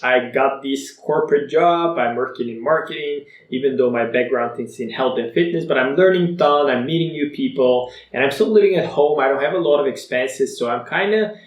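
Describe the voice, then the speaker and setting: Bulgarian man, twenties, Bulgarian native, upbeat vlogger telling his story, mid pitch, roomy echo. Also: moderate pace, hardly any pauses. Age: 20s. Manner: energetic, motivational, conversational. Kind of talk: personal story to camera.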